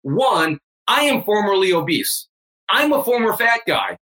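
A man's voice: high-pitched, big pitch swings, unhurried, sharp tone. A man in his fifties, a fitness coach, talking emphatically and energetically as a guest on a remote interview. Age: 50s. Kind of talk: energetic motivational interview talk.

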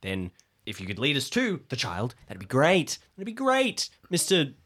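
A man's voice: medium pitch, huge pitch swings, moderate pace, a bit bright. A man playing in an improvised tabletop game, talking casually with a dry, questioning manner.

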